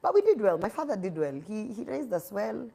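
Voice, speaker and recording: A woman's voice: medium pitch, very animated, fairly quick, dark tone, low-quality recording. A middle-aged woman from Nairobi with a Kenyan accent, a guest chatting candidly and animatedly in English and Swahili. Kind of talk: casual interview chat.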